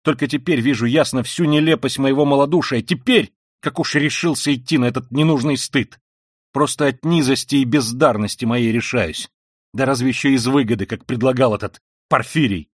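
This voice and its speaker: A man reading an audiobook voices a tormented, proud and feverish young man who speaks quietly and wearily and flares into shouting rage. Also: fairly low pitch, normal speed, dry recording, studio quality, natural voice. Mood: furious. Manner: quiet and weary, then bursts into shouting rage. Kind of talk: audiobook reading of a classic novel.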